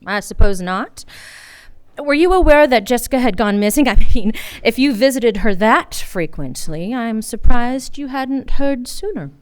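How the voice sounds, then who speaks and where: A woman playing a wry, hardboiled female private eye in a noir radio play, with a sardonic, teasing delivery and dramatic pauses. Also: fairly high pitch, lively intonation. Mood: pressing. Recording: live radio play with music behind.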